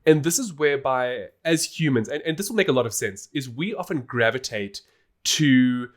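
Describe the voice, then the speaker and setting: A man's voice: medium pitch, lively intonation, normal speed. A man hosting a podcast, explaining things in a casual, informal and emphatic way. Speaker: podcast host.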